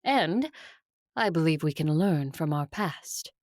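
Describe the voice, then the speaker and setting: An actress playing an ambitious, coolly ruthless female vampire clan leader in an audio drama, making proud, measured, formal declarations. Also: deep voice, animated, a little mellow, no room sound, clean studio sound. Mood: resolute.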